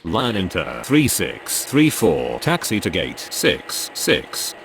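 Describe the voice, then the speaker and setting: A man plays an air traffic radio operator in a simulation game. The voice is very low with strongly swinging intonation, and the phrasing is clipped and formulaic. Faint vehicle noise sits behind it. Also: wildly swooping pitch, takes it slowly, a bit bright, heavily acted. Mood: businesslike. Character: procedural male pilot and air traffic controller. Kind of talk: scripted aviation radio calls.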